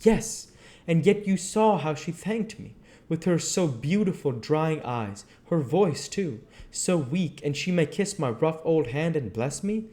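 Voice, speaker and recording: A man's voice: on the high side, lively intonation, a little slow, warm tone. A man doing a grave, fatherly old professor. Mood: pleading. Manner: earnest, pleading, old-fashioned phrasing.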